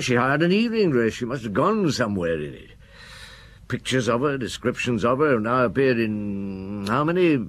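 A British actor playing a courteous, pensive middle-aged French chief inspector in a radio drama. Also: male voice, mid-range voice.

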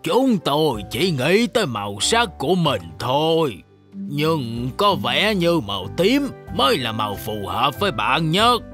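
Lively cartoon father shark. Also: a little high, measured pace, soft background music. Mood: apologetic. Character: proud adult male father shark in children's cartoon. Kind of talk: Vietnamese children's cartoon dubbing.

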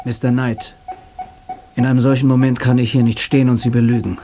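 A man's voice: mid-range voice, little pitch movement, a little fast, warm tone, soft background music, close to an everyday voice. An actor playing a defiant, sceptical young man in a German radio play, blunt and sarcastic. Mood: troubled. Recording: clear background noise, cassette transfer.

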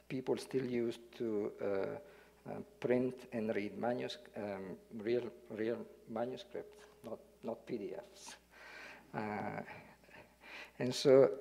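A man's voice: lowish voice, creaky, mellow, a little hiss or hum. A man from Italy with an Italian accent, giving an academic lecture in a hall, hesitant with fillers when speaking freely and reading parts of it aloud. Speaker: guest lecturer.

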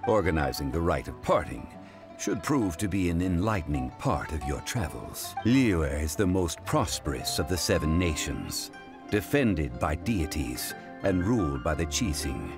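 Low solemn gentleman scholar, formal game dialogue, expressive pitch, music behind him. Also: unhurried, exaggerated voice. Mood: calm, dignified. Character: dignified, learned young-looking male funeral parlor consultant. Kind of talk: video game character dialogue.

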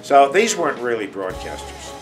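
A man's voice: mid-range voice, sing-song, moderate pace. A man in his sixties, a radio industry veteran, giving a plain-spoken documentary interview. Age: 60s. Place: indoors, office. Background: music.

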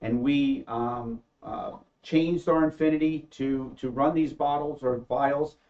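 A man's voice: on the high side, steady tone, unhurried, dull audio. A middle-aged man, an engineer, explaining equipment in a casual panel talk with many ums and uhs. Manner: hesitant, many fillers. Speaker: company engineer, co-inventor. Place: studio set with backdrop.

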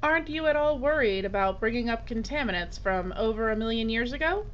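An actress playing a shrewd, bossy documentary crew leader with a brisk, businesslike manner in an audio drama. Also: female voice, on the high side, expressive, natural voice. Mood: probing. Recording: dull, noisy audio.

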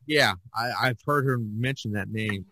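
A man hosting a casual podcast chat, speaking haltingly and often repeating his words. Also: mid-range voice, sharp tone, no room sound. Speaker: podcast host.

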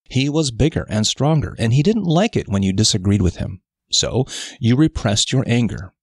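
Guy narrating an audio article, deliberate and instructive, really varied intonation, dry close recording. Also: medium pitch, no echo. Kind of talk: scripted narration of a self-help article.

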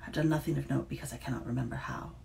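A reader voicing a weary, fading female kappa in a storybook reading, speaking in a hushed, whispery way. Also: mid-range voice, fairly even intonation, rapid-fire, creaky, slight background noise.

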